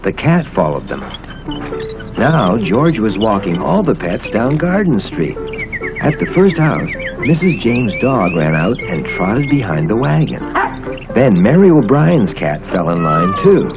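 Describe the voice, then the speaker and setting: An American man narrating a children's story in a theatrical, expressive way. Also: fairly low pitch, a little slow. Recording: muffled old cartoon soundtrack with music.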